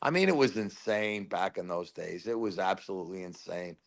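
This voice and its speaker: Loud, rambling sports-radio caller. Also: male voice, deep voice, moderate pace. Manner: rambling, animated storyteller. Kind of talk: casual phone-in chat.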